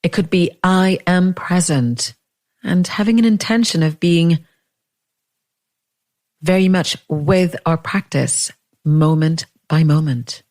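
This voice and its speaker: A woman leading a guided meditation in a gentle, unhurried way, leaving long pauses between phrases. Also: fairly low pitch.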